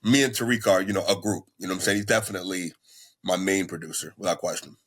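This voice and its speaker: A man, a rapper, talking in a laid-back, slow way with many fillers in a casual interview. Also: sits low, a bit bright, a little hiss or hum.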